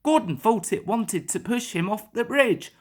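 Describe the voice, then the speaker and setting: A woman tells a children's story at a slow, careful pace. Her pitch rises and falls in a very animated way across a middle register.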